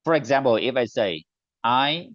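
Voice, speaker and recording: A man's voice: mid-range voice, lively intonation, dry recording. Man with a Vietnamese accent teaching online. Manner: clear, deliberate classroom delivery, slows on model phrases. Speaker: teacher trainer. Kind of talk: online teacher training session. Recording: dull, dry online call audio.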